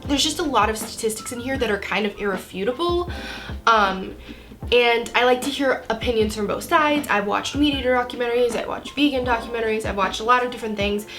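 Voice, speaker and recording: A woman's voice: fairly high pitch, a little fast, fairly bright tone, soft background music. A twenty-year-old American woman from Florida with a cold, chatting casually and informally to camera as a book vlogger. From Florida, United States. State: has a cold.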